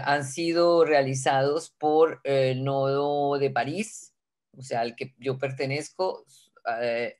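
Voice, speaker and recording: A man introducing short films to an audience, measured and hesitant, with frequent pauses and restarts. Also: mid-range voice. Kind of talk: spoken introduction at a panel.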